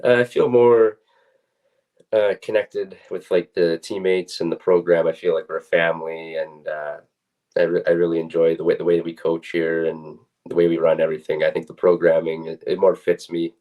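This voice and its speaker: A man's voice: sits low, little pitch movement, normal speed, narrow, tinny audio. A young man, a student athlete, giving casual, plain-spoken and somewhat hesitant interview answers. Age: young adult.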